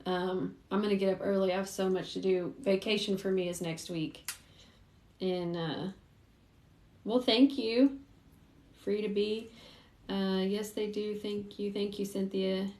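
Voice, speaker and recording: A woman with a middle-range voice hosts a casual livestream. She talks informally and directly to her viewers, taking questions in a chatty way. The room adds a clear echo. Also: medium pitch, steady tone, measured pace, darkish tone. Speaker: host, private investigator. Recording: roomy echo.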